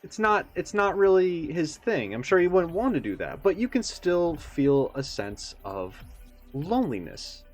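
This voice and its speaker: A man's voice: on the high side, sing-song, measured pace. Man musing aloud over background music. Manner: rambling, thinking aloud with self-aware asides. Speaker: reaction video creator. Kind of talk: casual, reflective commentary on a game character video.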